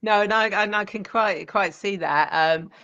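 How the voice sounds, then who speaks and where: A man's voice: high-pitched, normal speed, pushed and bright, lo-fi sound. A man hosting an interview podcast, chatting casually in a rambling and agreeable way. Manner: rambling, restarts phrases, agreeable. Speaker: podcast host.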